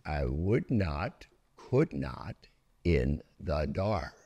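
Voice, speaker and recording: A man's voice: extremely low pitch, sing-song, drawn-out words, warm tone, breathy edge, dull audio, voice pushed down, full character voice. A man voicing a stubborn, grumpy storybook creature in emphatic, singsong refusals. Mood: exasperated.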